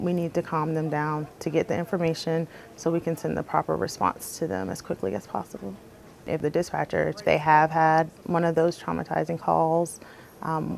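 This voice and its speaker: A woman's voice: deep voice, vocal fry, a little mellow, slight background noise. A woman working as an emergency dispatcher, explaining her job in a plain-spoken, earnest way as if interviewed.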